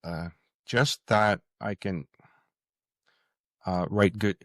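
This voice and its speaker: A man answering as a guest author in a conversational interview, earnest and halting as he searches for words. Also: fairly low pitch, no echo, studio quality.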